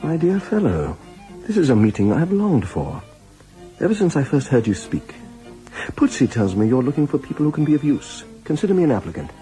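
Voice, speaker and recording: Hearty, animated German war hero. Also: male voice, a little high, a little fast, faint music behind, poor audio. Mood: eager, amused. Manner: hearty, urbane, laughs readily. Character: bluff, jovial male German war-hero major, socially confident. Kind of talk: radio drama acting.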